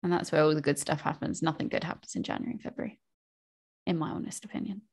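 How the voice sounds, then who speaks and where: A woman's voice: deep voice, fast, gravelly, a little mellow, husky. A woman, co-hosting a podcast in upbeat, agreeable casual chat. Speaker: podcast co-host.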